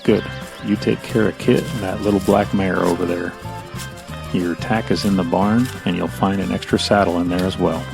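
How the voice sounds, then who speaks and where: An actor playing an easygoing, solitary horse trainer in an audio drama, with a deep, gravelly voice. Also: male voice, steady tone, moderate pace, over music. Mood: friendly, laid-back.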